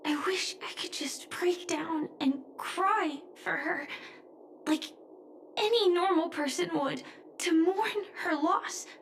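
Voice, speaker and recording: A woman voicing a grieving but resolute young knight in a video game, solemn, restrained and softly breathy. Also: very high voice, slow pace, a little hiss or hum, heavily acted. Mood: quiet grief. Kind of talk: dramatic video game voice acting. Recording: roomy echo, music underneath. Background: music.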